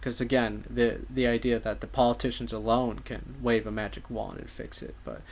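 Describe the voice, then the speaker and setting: A man's voice: medium pitch, steady tone, moderate pace, vocal fry, a little hiss or hum. A man giving casual political commentary as a talk show host, with wordy, somewhat formal turns of phrase.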